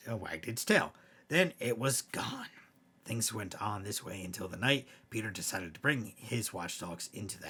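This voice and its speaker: A man with a low, raspy voice tells horror tales like a bedtime storyteller. His delivery is theatrical and animated, swelling for emphasis and dropping to a hush. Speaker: storyteller, host. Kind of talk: dramatic scary bedtime story narration.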